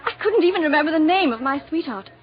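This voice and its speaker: A woman's voice: high voice, expressive, quick pace, over music. An actress playing a sweet, earnest young woman in a breathless, emotional 1940s radio drama style. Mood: wistful, earnest. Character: sweet, earnest young English war bride, amnesiac. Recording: dull 1940s radio recording, audience noise.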